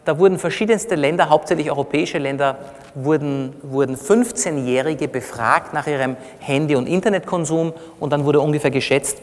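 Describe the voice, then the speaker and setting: A middle-aged man with an Austrian accent gives an explanatory, conversational lecture, teaching plainly and checking in with short tag questions. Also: a little high. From Austria, born in Iran. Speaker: physician giving a lecture. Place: on stage in a large congress hall. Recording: reverberant large hall.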